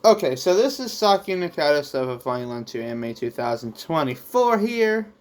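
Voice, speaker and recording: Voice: medium pitch, big pitch swings, normal speed. A vlog host who makes manga, talking casually and in a rambling way to viewers, with theatrical touches. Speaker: YouTube host and manga creator.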